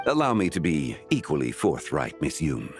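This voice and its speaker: A man voicing a composed, courteous, learned gentleman in a video game, with formal, measured phrasing. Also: extremely low pitch, wildly swooping pitch, soft background music, exaggerated voice. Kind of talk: scripted video game dialogue acting.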